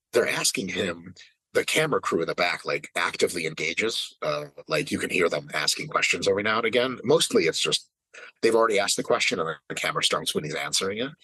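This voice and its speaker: Animated, swearing man in his thirties. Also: low-pitched, slightly rough, dry recording, rough recording. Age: 30s. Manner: animated, profane, emphatic ranting. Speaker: fitness podcast regular. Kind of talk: casual podcast chat.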